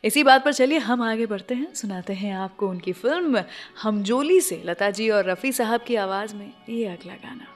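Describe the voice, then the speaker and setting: A woman's voice: medium pitch, normal speed. A woman with an Indian accent hosts a radio show, telling scripted stories conversationally and speaking directly to listeners. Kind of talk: scripted radio storytelling between songs. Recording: music playing behind the voice. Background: background music.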